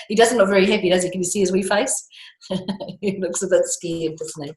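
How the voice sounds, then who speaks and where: A woman's voice: mid-range voice, normal speed. Echoey New Zealand woman reading to children. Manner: animated, playful, asks listeners questions; often chuckles as she talks. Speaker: school teacher reading picture books to children. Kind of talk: read-aloud storytime, talking children through a picture book. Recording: echoey, roomy home-style recording.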